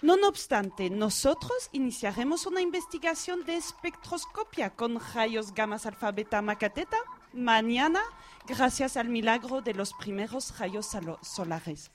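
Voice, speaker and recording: A woman's voice: a little high, expressive, unhurried. A woman playing a pompous, self-assured female astronomy professor in a parody radio drama, speaking theatrically. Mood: dismissive, grandiose. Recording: noticeable background noise.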